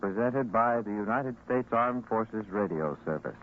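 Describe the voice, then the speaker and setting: A man narrating an old radio drama as announcer, in a solemn, formal, measured manner. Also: fairly low pitch, expressive. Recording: muffled old radio broadcast with music. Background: music.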